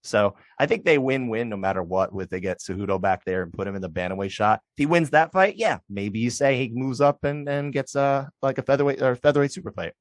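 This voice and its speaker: A man's voice: mid-range voice, no echo. Chatty male podcast co-host, dry sound. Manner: chatty, restarts often, puts on quick voices for others. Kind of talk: casual sports chat.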